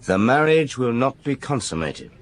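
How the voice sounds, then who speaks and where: Police inspector, loyal deputy, plain-spoken, mid-pitched, frank, radio play. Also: male voice, sing-song, moderate pace. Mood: sceptical, frank. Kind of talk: radio drama acting.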